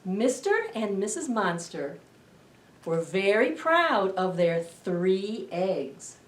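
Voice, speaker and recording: A middle-aged woman hosting a children's storytime, reading aloud in an expressive, deliberate way to young listeners. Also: mid-range voice. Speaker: library storytime host. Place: indoor library children's room. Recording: roomy echo, distant camera microphone.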